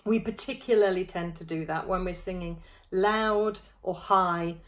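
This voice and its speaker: Woman, 30s, low-ish voice, chatty vocal coach talking into her laptop at home. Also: steady tone, measured pace. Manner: friendly, demonstrative, touching throat and jaw while explaining. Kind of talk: vocal warm-up lesson to camera. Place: small room at home, indoors.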